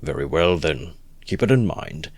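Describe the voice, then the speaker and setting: A self-important middle-aged gentleman chooses his words carefully and talks down to his listener, as a man reads him. His voice is very deep, and the recording is dry and close. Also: big pitch swings, no echo, full character voice. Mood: measured. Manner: measured, pompous, condescending. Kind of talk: audiobook reading.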